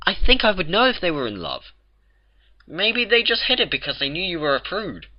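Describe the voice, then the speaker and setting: A fussy, anxious unicorn mare, put on by a male reader in a lifted, strained voice. She fires off objections quickly and indignantly. The home recording sounds muffled and lo-fi. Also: high-pitched, very animated, pitched above the performer's own voice. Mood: exasperated. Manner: exasperated, rapid objecting.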